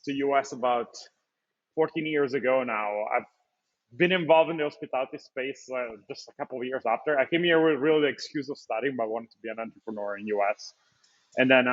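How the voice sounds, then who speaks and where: A man speaks as a startup founder in a webinar. His voice sits at an ordinary pitch and comes through slightly muffled web call audio. He talks fluently but keeps stopping to restart phrases and fill gaps with "uh" and "um.". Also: fairly bright tone. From Italy. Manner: fluent but hesitant, frequent fillers and restarts. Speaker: startup founder and CEO, guest. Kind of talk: webinar interview, business pitch. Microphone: video call.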